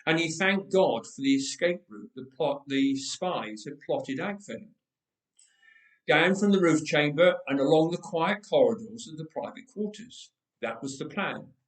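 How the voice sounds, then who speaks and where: A man reading a dramatic story aloud with measured, emphatic delivery and dramatic pauses. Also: on the high side, expressive. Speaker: storyteller, reader. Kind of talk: dramatic scripted story narration. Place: roomy church interior. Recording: echoey church, distant microphone.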